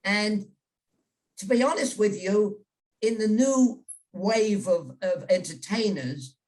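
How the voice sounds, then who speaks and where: A man's voice: high-pitched, takes it slowly, darkish tone. Older British man telling rambling stories. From England. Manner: rambling, frequent restarts and repeated words. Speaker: interview guest, journalist. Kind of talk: casual storytelling chat. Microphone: video call.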